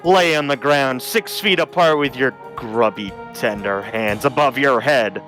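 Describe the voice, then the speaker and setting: A man's voice: medium pitch, unhurried, a bit bright, faint music behind, lightly acted. An actor playing a stern, overwrought policeman in a comedic audio drama. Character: overwrought stern male sanitization cop.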